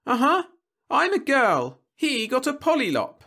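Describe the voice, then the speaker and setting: Man doing a demanding little girl, very high-pitched. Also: wildly swooping pitch, unhurried, vocal fry, bright, forceful tone, heavily acted. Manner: whiny, insistent. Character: demanding, stubborn little girl wanting candy.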